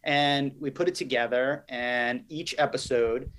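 A man's voice: mid-range voice, unhurried, fairly bright tone. A man giving conversational interview answers as a business guest, explaining and recounting in an articulate way. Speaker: podcast guest, company chief executive.

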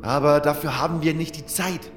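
A weary male adventurer from a fantasy audiobook, performed by a man, has a lightish, higher voice and sounds earnest and anxious. The recording echoes, with music underneath. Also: steady tone, a little fast, natural voice. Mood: worried, weary. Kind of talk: audiobook character acting.

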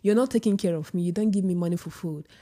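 Husky, opinionated woman vlogging. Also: lowish voice, fast, warm tone. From Sweden. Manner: opinionated, rising to emphatic outbursts; many restarts and filler words. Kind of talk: casual opinion monologue to camera.

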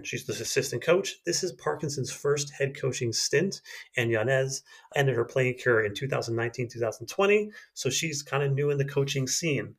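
A man hosting a podcast, running through news in a conversational, informal way. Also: medium pitch. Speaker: podcast host. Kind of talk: casual news rundown.

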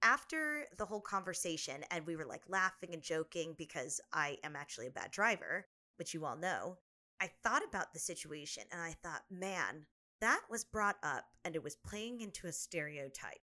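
A woman's voice: lowish voice, very animated, normal speed, bright, forceful tone. A young woman co-hosting a podcast, telling a personal story in an earnest, reflective way. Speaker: podcast co-host. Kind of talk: casual podcast chat.

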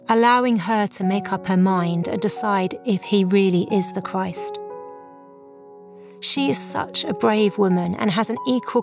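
Woman, medium pitch, devotional presenter, earnest and reverent, measured scripted delivery, prominent background music. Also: vocal fry. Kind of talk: scripted devotional commentary and prayer.

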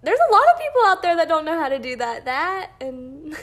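A woman's voice: high voice, sing-song, a little fast. A young woman in college co-hosting a faith podcast, chatting casually with a stuffy, nasal voice and frequent giggles. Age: young adult. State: nasal, congested from sinuses. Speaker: podcast co-host.

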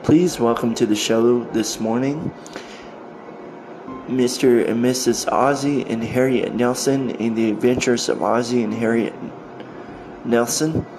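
A man hosting a podcast, introducing episodes in a halting, phrase-by-phrase delivery. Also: medium pitch, fairly even intonation. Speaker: podcast host introducing old-time radio shows. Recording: home recording with clear background noise.

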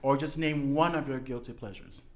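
A man hosting a casual podcast chat, upbeat and quick to laugh. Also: medium pitch. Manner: upbeat, laughs often, lively emphasis. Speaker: podcast host. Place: indoor recording space.

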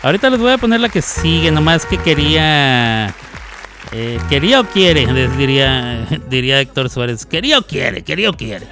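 A man's voice: fairly high pitch, lively intonation, normal speed, music in the background, a crowd in the background. Chatty Mexican man hosting radio. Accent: northern Mexican. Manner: chatty, laughs often, playful asides. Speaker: radio host. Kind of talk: casual live radio chat.

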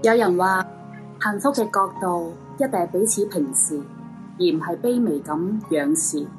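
A woman's voice: on the low side, unhurried, rough recording. A woman delivering a scripted dramatic monologue. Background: music.

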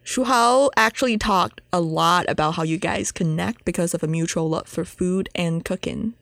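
A woman's voice: lowish voice, normal speed, vocal fry, a bit bright, no echo. A young woman hosting a conversational podcast interview in a studio. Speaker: interviewer, host.

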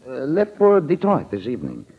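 A man acting a younger husband in a 1940s radio drama, evasive and nervy under smooth politeness, on an old radio recording. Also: medium pitch, wildly swooping pitch, normal speed, phone-quality sound, slight background noise. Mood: feigned ease.

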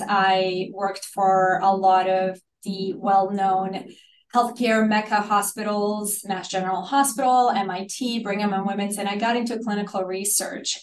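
Middle-aged woman from Bosnia, earnest interview guest, mid pitch, roomy sound. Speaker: health and nutrition coach. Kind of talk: interview guest telling her story.

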